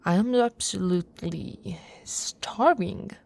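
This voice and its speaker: A woman's voice: fairly low pitch, slow pace, vocal fry, heavily acted. A woman voicing a tough, teasing and affectionate orc warrior wife in a playful ASMR roleplay. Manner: playful banter, soft laughs and murmurs.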